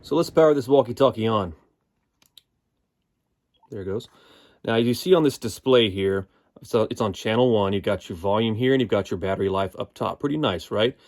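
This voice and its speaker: A man's voice: on the low side, moderate pace, long pauses, a little mellow. An American man giving a casual, unscripted gear review in a folksy, explanatory manner. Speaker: product reviewer.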